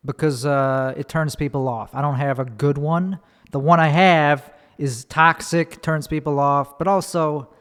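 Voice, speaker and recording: A man with a Chicago accent rants in a rambling solo podcast, swearing often and breaking off his sentences. His voice sits a bit high for a man. The recording rings with a long reverb tail. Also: smooth. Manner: profane, rambling, restarts mid-sentence. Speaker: comedian and podcast host. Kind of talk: ranting solo podcast monologue. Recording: long reverb, echoey room.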